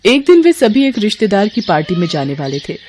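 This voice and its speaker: A woman's voice: mid-range voice, very animated, a little fast, darkish tone, a little hiss or hum. A woman narrator reading a scripted storybook tale.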